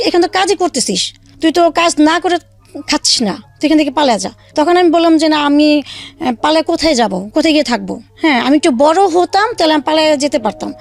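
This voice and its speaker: A woman telling her own life story in a halting way, with many fillers and restarts. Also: high-pitched, vocal fry. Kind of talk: emotional personal storytelling.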